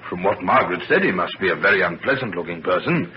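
An actor playing a kindly, concerned older gentleman father in an old radio serial, speaking formally and paternally. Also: deep voice, expressive, fast. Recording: dull, noisy old radio recording.

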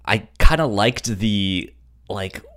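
A podcast co-host chatting casually about a series, in a halting way that often repeats words and starts over. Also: low-pitched, animated, a bit bright.

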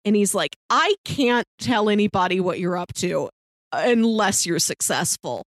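A woman's voice: mid-range voice, expressive, unhurried, no echo, studio quality. A woman co-hosting a podcast, chatting casually about films with playful, fannish enthusiasm. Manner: enthusiastic, playful fan talk. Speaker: podcast co-host. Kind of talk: casual film discussion chat.

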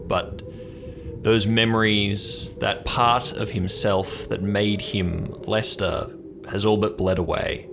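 A man's voice: fairly low pitch, gravelly, background noise. A man hosting and narrating an improvised audio drama in an informal way. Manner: informal, joking. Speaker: host and narrator.

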